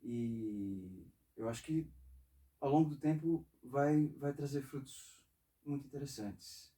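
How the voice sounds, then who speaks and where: A man in his forties from northeastern Brazil, an interview guest talking casually and reflectively, in a halting way. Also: medium pitch, dark tone, far from the mic. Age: 40s. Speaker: interview guest, saxophonist and composer. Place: music studio.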